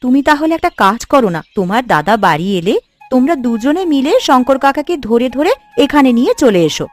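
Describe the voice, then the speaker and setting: Casting: woman as practical, outspoken cartoon housewife, lively swings in a highish voice. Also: a little fast. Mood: concerned. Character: caring, outspoken village housewife, practical and bossy. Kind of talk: cartoon voice acting.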